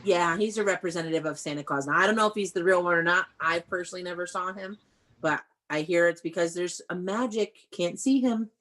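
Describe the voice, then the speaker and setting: A woman's voice: on the low side, a little fast, a bit bright. An American woman teaching young children in an interactive read-aloud over a video call, praising and prompting them with questions. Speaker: elementary school teacher.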